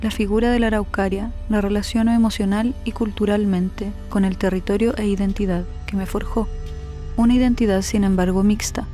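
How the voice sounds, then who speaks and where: A woman from Chile, a native Spanish speaker, narrating her documentary in a quiet, questioning, introspective voice-over. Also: mid-range voice, fairly even intonation, fairly quick, faint music behind. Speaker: filmmaker narrator.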